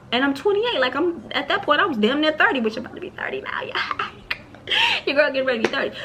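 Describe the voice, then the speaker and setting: A woman in her late twenties telling a personal story to her viewers in a casual, expressive way. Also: medium pitch, slight background noise. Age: late 20s. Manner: chatty, expressive, talks straight to her viewers. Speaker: podcast host.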